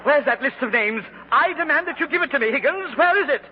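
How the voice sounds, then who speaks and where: An actor playing a scheming, bullying department store manager with a pompous, sharp temper in a 1940s radio comedy, on a muffled vintage recording. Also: male voice, very high voice, expressive, quick pace, over a noisy crowd, heavy background noise. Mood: outraged.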